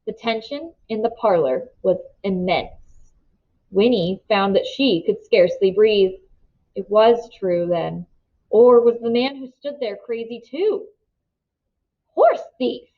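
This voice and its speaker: A woman reading a novel aloud as an expressive storybook narrator. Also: mid-range voice, unhurried, lo-fi sound.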